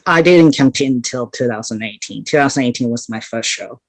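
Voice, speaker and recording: A woman's voice: extremely low pitch, a little fast, dry recording, poor audio. Blunt woman with a dry, close sound. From Hong Kong. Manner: blunt, straightforward. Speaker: interview guest, competitive bodybuilder. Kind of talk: casual interview talk.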